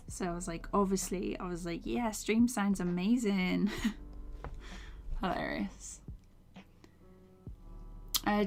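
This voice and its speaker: A young woman chatting casually while she animates on a live stream, her voice tired from a day of talking, often laughing and drifting into soft mumbles as she works. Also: mid-range voice. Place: small home room, indoors. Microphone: desk microphone with foam cover.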